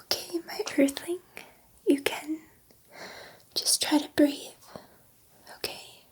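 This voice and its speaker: A gentle alien scientist voiced by a woman whispers to her human subject in an ASMR roleplay. Her voice is soft, breathy and high, with very unhurried pacing. She is soothing yet excitable, repeating reassurances. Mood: gentle, careful.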